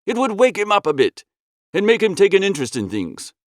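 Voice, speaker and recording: A man reads a comic novel in the first person. His voice is fairly high and very animated, breezy and theatrical, with a dry, close sound. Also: brisk, dry recording. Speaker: audiobook narrator.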